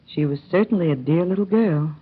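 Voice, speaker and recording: A woman playing a gentle mother in a 1930s radio drama, asking soft, quiet questions. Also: on the low side, a little fast, breathy edge. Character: gentle mother, mild and amused. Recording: thin, phone-like old radio broadcast.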